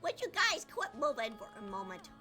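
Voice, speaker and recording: A woman's voice: a little high, sing-song, a little fast. A woman streaming a game with casual live commentary, talking to the game and to herself. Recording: loud game music behind.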